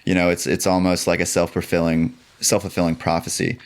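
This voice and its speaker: Very deep-voiced man, rambling philosophically. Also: monotone, fairly quick. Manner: rambling and philosophical, peppered with "like" and "you know". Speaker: podcast guest. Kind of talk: casual conversational musing.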